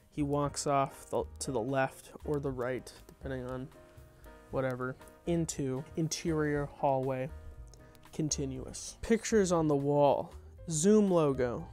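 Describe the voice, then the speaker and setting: Young adult male, informal comedy table read, wry improvised asides, middling pitch, music underneath. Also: darkish tone. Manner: wry, off-the-cuff asides between scripted lines. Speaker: content creator reading own script.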